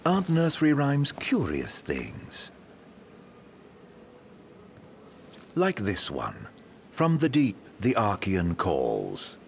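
An actor playing a suave, mysterious scheming gentleman in a video game, with flowery, mock-courteous drawling speech. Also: fairly low pitch, huge pitch swings, takes it slowly, gravelly, a little hiss or hum, exaggerated voice. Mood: theatrical, sly. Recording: loud background music.

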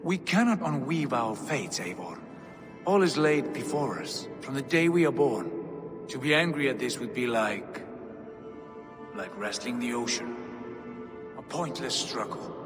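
A man voices a stern Viking jarl, grave and fatalistic, each line weighed and measured. His voice carries a long, hall-like echo. Swelling music plays behind him. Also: medium pitch, expressive, close to an everyday voice. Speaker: Viking leader. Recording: reverberant game mix with music.

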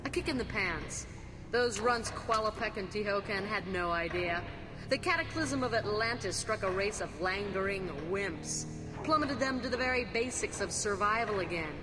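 A woman's voice: medium pitch, lively intonation, moderate pace, long reverb tail, music in the background, close to an everyday voice. An actress playing a haughty, scornful immortal villain queen with an imperious, theatrical delivery. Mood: haughty zeal. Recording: noisy game cutscene audio.

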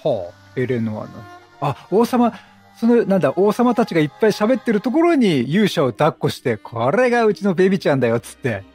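A man commentates on a game in a chatty, reactive way. His voice is highish and very animated, a bit husky, as he thinks aloud. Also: brisk, faint music behind. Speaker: game streamer. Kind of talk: chatty let's-play commentary.

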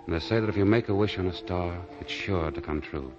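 Low-voiced suave fugitive played by an actor. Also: male voice, fairly quick, over music, close to an everyday voice. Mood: tender. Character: suave condemned fugitive man, tender and coolly resolute. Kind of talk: 1940s radio drama acting. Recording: muffled vintage 1940 radio broadcast.

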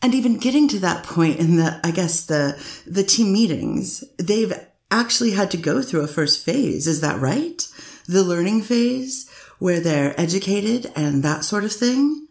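A woman's voice: lowish voice, animated, a little slow, creaky, darkish tone, echoey room. A woman voicing a careful lawyer, questioning a witness step by step in a courtroom reading. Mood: steady questioning. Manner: methodical questioning, many "is that fair to say". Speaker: defense attorney.

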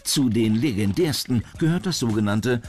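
A male narrator delivers scripted documentary voice-over at a middle pitch. Music plays clearly behind his voice throughout. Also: lively intonation, moderate pace, darkish tone.

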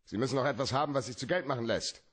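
An actor playing a hard-boiled, cynical private detective in a German radio play, terse and dryly probing. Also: male voice, mid-range voice, racing, fairly bright tone, husky. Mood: cool, businesslike.

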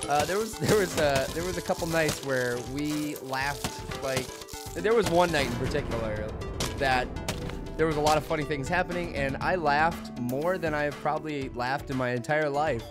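A young man giving goofy, casual gameplay commentary, slightly hoarse from losing his voice, with sudden loud yelled outbursts. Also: on the high side, moderate pace. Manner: goofy, jokey, bursts into loud yelled shouts. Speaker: gaming commentator. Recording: loud game music behind the voice. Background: video game music.

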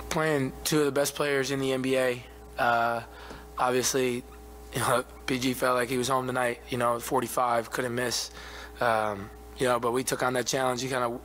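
A man's voice: mid-range voice, a little hiss or hum, low-quality recording. A man in his twenties, a basketball player, answering questions at a postgame press conference with many fillers, speaking into a table mic in an arena press room. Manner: soft-spoken, even, many fillers. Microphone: table mic in front of him.

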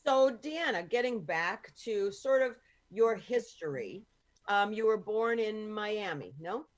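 A woman's voice: mid-range voice, animated, slow. A middle-aged woman from the United States joins a talk show as a guest over a video call. She speaks earnestly and hesitantly, feeling her way through her points with plenty of fillers. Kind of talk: casual interview chat. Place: small room at home.

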